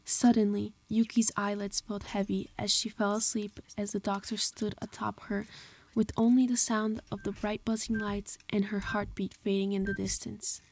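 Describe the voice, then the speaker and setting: A woman narrating a scripted horror story in a measured storytelling manner. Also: mid-range voice, little pitch movement, a little mellow. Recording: clear music behind the voice. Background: music.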